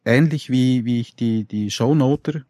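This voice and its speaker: A man's voice: medium pitch, a little slow, warm tone, dry recording. Swiss german guy, guest on a podcast, chatty with questions, dry sound. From Switzerland. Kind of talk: casual podcast chat.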